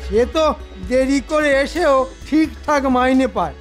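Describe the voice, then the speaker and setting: A man's voice: very high voice, expressive, measured pace, soft background music. A man playing a scheming, petulant, self-important cartoon minister, whiny and argumentative. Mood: indignant. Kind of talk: Bengali cartoon voice acting.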